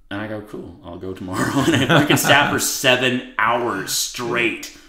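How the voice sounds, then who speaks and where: A man with a raspy voice, a podcast guest talking bluntly and swearing freely in casual conversation. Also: medium pitch, normal speed, sharp tone. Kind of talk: casual interview chat. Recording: roomy echo.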